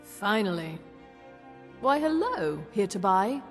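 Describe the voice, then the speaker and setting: Slow-drawling, haughty shopkeeper. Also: female voice, medium pitch, very animated. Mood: snooty selling. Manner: sarcastic, disdainful, drawn-out delivery. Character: haughty sarcastic woman clothing shopkeeper. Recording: long reverb, faint music behind.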